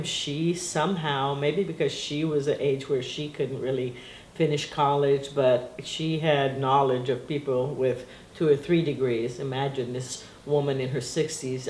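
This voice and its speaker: A woman's voice: low voice, darkish tone. A middle-aged woman whose first language is Portuguese reminisces in a loose, rambling way, often breaking into laughter. The room sounds echoey and roomy. A faint hiss sits behind her voice. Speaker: interviewee. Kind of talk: casual reminiscing interview.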